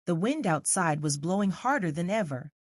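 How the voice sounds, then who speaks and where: A woman's voice: lowish voice, animated, moderate pace, no room sound, clean studio sound. A woman reading scripted narration for a children's story as the narrator.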